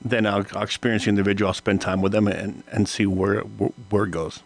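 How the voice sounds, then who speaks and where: Guest, man in his fifties from Cuba, fairly low voice, laid-back and chuckling, trips over his openings. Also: brisk, creaky. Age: 50s. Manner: relaxed, stammers on starts, laughs easily. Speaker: radio guest. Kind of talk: casual radio interview.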